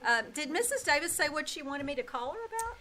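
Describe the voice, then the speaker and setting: A woman playing a wry, quick-witted schoolteacher who delivers dry quips in old-time radio comedy style on a live stage. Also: sits high, big pitch swings, bright, forceful tone, heavily acted. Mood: dry, sly. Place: live stage in a small-town theater. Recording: faint noise, live small theater stage.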